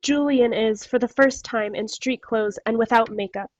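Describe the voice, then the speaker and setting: A woman's voice: on the high side, normal speed, no echo. A woman reading stage directions as scripted narration, recorded over a video call. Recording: dull, dry video-call audio.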